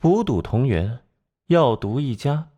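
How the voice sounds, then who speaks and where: A man voicing a sleepy, flippant young occult expert with a drawling, nonchalant delivery in an animated crime drama. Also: medium pitch, a little slow, dull audio. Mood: smug, explanatory.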